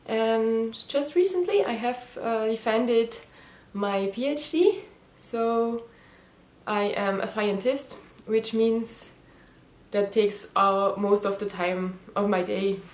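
A woman's voice: a little high, takes it slowly. Austrian woman chatting in an echoey room. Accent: Austrian German. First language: German. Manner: hesitant, many ums and restarts. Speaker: knitting podcast host. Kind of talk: casual chat to camera. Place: living room at home. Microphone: camera mic, head and shoulders framing.

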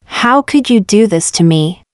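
A woman's voice: medium pitch, lively intonation, no echo. Woman reading lesson questions, dry close. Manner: clear, measured question reading. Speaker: language lesson reader. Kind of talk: slow repeated example questions for learners.

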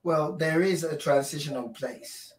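A man in his forties, a pastor, answering questions in a measured, hesitant way over a video call from home. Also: on the high side. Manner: measured, hesitant, many fillers. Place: home room, indoors. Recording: echoey room, far from mic.

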